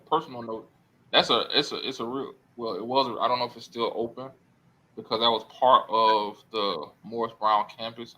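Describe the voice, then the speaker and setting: Earnest rambling man, bright tone. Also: medium pitch, poor audio. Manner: rambling, self-correcting, earnest. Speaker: podcast guest. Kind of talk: casual podcast chat.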